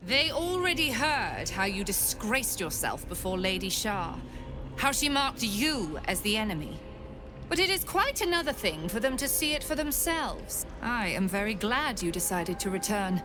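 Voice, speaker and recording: Actress as older cult priestess, cold, haughty, menacing, deliberate, dramatic fantasy game villain. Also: female voice, mid-range voice, big pitch swings, music in the background, natural voice. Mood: gloating, menacing. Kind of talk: dramatic video game acting.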